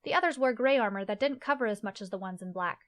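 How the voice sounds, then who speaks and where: A woman's voice: medium pitch, fast talker. A woman reading scripted fantasy story narration as the narrator of an audio play.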